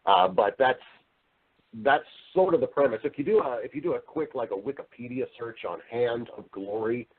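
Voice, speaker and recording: A man's voice: medium pitch, expressive, moderate pace, phone-quality sound, a little hiss or hum. A man speaking as an interview guest, casual and wry, often restarting and rephrasing his sentences with self-deprecating humour. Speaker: interview guest, voice-over artist and actor.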